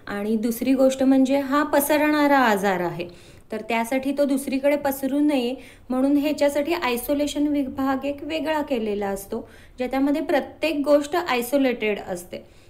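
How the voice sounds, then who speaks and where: A woman's voice: high voice, a little mellow. A woman, a doctor answering interview questions in an explanatory way, mixing in English medical terms. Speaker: doctor, podcast guest.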